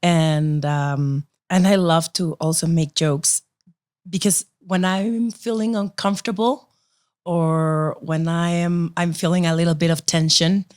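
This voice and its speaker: A woman's voice: lowish voice, a little slow. Effusive woman podcast host. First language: Spanish. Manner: warm, effusive and excited, speaking English as a second language. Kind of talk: podcast interview.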